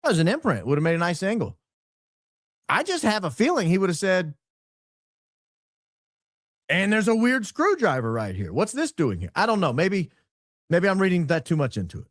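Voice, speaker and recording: A man hosting a casual commentary on audio clips, questioning, halting and often repeating himself. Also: sits high, wildly swooping pitch, no room sound, studio quality. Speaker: host.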